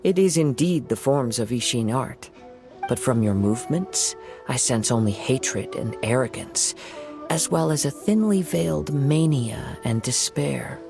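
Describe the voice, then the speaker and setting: An actor playing a calm, perceptive young wandering samurai in a video game, speaking in a measured, earnest way. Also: male voice, mid-range voice, creaky, music in the background. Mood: analytical, calm. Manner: measured, earnest, gently probing. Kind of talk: video game character acting.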